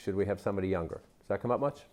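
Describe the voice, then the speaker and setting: A man's voice: fairly low pitch, very fast, a little mellow. A man working as a reporter, putting questions to people in interviews.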